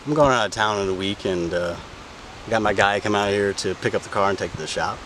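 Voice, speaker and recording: A man's voice: lowish voice, fast, fairly bright tone, a little hiss or hum, lightly acted, rough recording. An actor playing a brash, womanizing friend who talks in casual, slangy, foul-mouthed rambles in a film drama. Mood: sheepish, cajoling. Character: brash, womanizing male buddy asking a favor.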